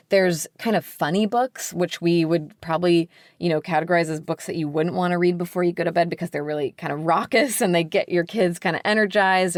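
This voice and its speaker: Woman, lowish voice, dry close sound, chatty podcast guest explaining stuff, says like a lot. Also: dry recording. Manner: chatty, explanatory, frequent "like" and "sort of". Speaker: podcast guest, children's book editor. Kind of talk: casual interview conversation.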